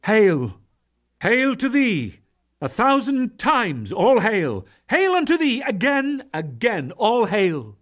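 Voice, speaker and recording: A man voicing an awestruck, reverent warrior in a dramatic scripture reading, fervent and pleading, with declamatory pauses. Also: high-pitched, wildly swooping pitch, pitched above the performer's own voice, exaggerated voice. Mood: fervent worship.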